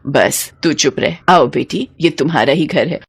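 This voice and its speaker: A woman voicing a gentle, humble older village mother who sounds quietly worried, in a story. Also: low voice, measured pace, vocal fry, breathy edge, voice pushed down, exaggerated voice. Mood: welcoming.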